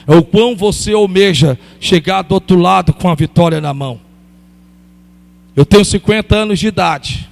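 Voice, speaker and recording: High-voiced gravelly preacher in echoing hall. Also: male voice. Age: 50s. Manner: repetitive, emphatic, calls on the congregation. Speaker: pastor. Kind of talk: sermon. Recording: long hall reverb.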